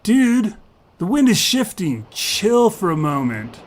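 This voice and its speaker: An excitable young surfer dude from space in an audio fiction story. The voice sits high and swings widely, with drawn-out emphasis and exclamatory slang. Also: male voice, slight background noise, full character voice. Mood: excited, friendly. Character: excitable young alien surfer dude, slug in human guise. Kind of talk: audio fiction character acting.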